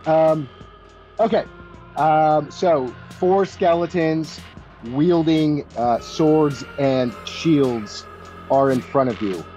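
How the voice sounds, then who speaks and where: A man acts as game master with a mellow, unhurried delivery, pausing often as he improvises the scene. His voice sits at a middling pitch. The sound is slightly dull and lo-fi, with music behind him. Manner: halting, pauses between phrases. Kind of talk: improvised tabletop game narration.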